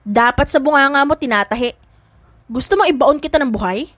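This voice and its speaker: A brash, protective young hothead from an audiobook romance, voiced by a woman. The voice sits high for him and sounds dry and close. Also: a little fast, a bit bright, no echo. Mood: angry, threatening. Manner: threatening, brash, mixes in English. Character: hot-headed, protective young man in love. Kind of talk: audiobook drama.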